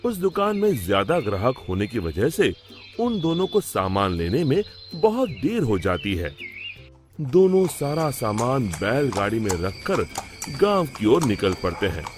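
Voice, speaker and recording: A man's voice: mid-range voice, big pitch swings, a little slow, faint music behind. A man narrating a scripted moral story for a cartoon as storyteller.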